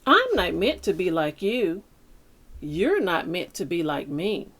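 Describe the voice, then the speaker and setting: Slow, expressive woman reading to children. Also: on the low side. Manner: deliberate, dramatic phrasing with pauses. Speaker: teacher reading a picture book aloud. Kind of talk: expressive children's read-aloud.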